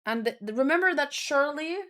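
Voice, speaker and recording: A woman's voice: high voice, expressive, moderate pace, fairly bright tone. A woman chatting casually as a streamer, thinking aloud in a rambling, exclamatory way. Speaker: manga read-along streamer.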